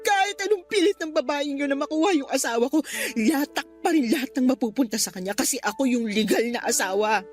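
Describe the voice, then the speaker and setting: An anguished, stubborn wife in a Filipino radio drama. The voice is high, emotional and pleading, with a Filipino accent and faint music underneath. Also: female voice, animated, brisk. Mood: stubborn, bitter. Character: anguished, stubborn pregnant wife clinging to unfaithful husband.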